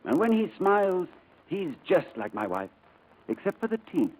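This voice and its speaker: An actor playing a gentle, excitable new father in 1940s radio style, eager and prone to stammering. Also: high-pitched, normal speed. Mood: proud, eager. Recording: noisy old radio recording.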